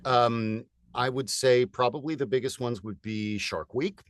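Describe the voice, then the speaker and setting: A man in his forties from California, a voiceover artist chatting as a guest in an upbeat, energetic way with lots of fillers. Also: medium pitch, measured pace, dry recording. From United States (California). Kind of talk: casual interview chat.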